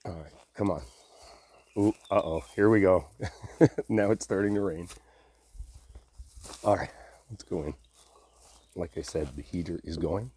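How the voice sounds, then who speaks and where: An easygoing, affectionate boyfriend as a man acts him out for an ASMR roleplay. His voice is low and mellow, a little husky, and he talks softly and sleepily, as if very near. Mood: cozy, content. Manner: soft, drowsy, intimate, unhurried.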